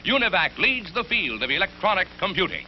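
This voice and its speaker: A man's voice: high-pitched, big pitch swings, a little fast. A man reading scripted narration for a vintage commercial as its announcer. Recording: dull vintage ad audio, faint hum.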